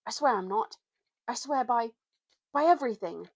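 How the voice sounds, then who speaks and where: A woman voicing an earnest, secretive schoolboy in a story reading, speaking solemnly and haltingly in old-fashioned schoolboy slang. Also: a little high, expressive, no echo, clean studio sound, pitched above the performer's own voice. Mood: insistent.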